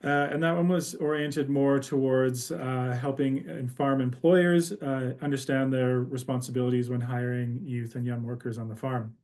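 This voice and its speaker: A man who is a policy analyst, opening a webinar as host, talking quickly with many filler sounds. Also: mid-range voice, fairly even intonation, darkish tone. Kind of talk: webinar introduction. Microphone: video call.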